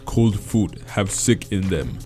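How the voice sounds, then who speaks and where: A man voicing a big, simple, creepy gray male monster who speaks in broken, halting English. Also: low voice, faint music behind. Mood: blunt. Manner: broken halting English, short flat sentences.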